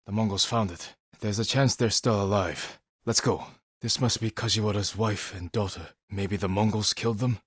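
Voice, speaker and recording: A solemn, determined samurai from a video game. His voice sits very low with a rough husk to it, recorded dry and close. He speaks in short, measured lines with serious, honourable resolve. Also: fairly even intonation, a bit bright, no room sound. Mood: focused. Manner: terse, measured, serious delivery.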